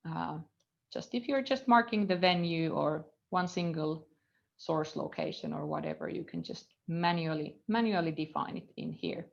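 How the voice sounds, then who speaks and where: A woman with a Finnish accent teaches from her computer, her lowish voice carrying a gravelly fry. She thinks aloud as she codes, trailing off and filling pauses. The sound is dull and lo-fi, like a webcam mic. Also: big pitch swings. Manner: thinking aloud, hesitant fillers, trailing off while reading code. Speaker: university lecturer. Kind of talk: live coding screencast lesson. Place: indoor room at a computer.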